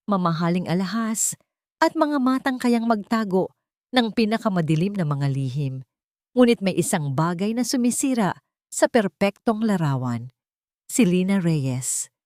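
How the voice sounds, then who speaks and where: A woman's voice: on the low side, a little mellow, dry recording, studio quality. A woman reading scripted, dramatic story narration as the narrator of an audio drama.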